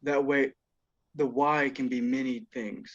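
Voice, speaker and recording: A man reading the part of a calm, cryptic cameraman who muses about anger, in a table read over a video call. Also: medium pitch, a little slow, highs cut off, natural voice. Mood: ominous. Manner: calm, ominous, philosophizing.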